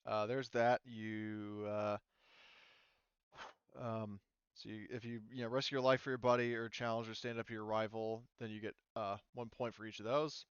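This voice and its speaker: Guy game master going over rules, smooth clean voice, dry slightly dull audio. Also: fairly low pitch, little pitch movement, moderate pace, no room sound. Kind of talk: casual explanation of tabletop game rules.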